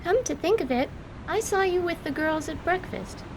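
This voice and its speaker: A woman as a sweet, high-voiced halfling mother. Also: animated, normal speed, noisy background. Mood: sweet, friendly. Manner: sweet, gracious. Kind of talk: audio drama acting.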